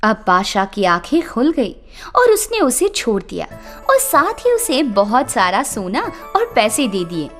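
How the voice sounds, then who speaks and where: A woman's voice: on the high side, huge pitch swings, measured pace, fairly bright tone. A woman narrating a scripted story for children. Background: background music.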